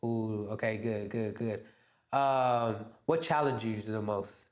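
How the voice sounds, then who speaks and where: A man in his twenties hosting a casual podcast chat. He talks in an animated way, laughs often and keeps saying "bro.". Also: fairly low pitch, takes it slowly, echoey room. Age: 20s. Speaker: podcast host. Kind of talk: casual conversation.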